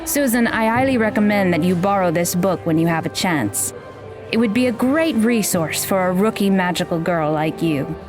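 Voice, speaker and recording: An actress playing a blunt, aloof young magical mentor who talks in a dry, deadpan way in an audio drama. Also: female voice, lowish voice, moderate pace, close to an everyday voice. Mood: instructive. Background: background music.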